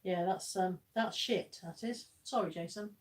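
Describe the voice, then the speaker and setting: A woman's voice: on the low side, moderate pace. Woman chatting on a live stream, laughs lots, mic a bit far. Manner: chatty, laughs often, blunt. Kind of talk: casual chat reading viewer comments.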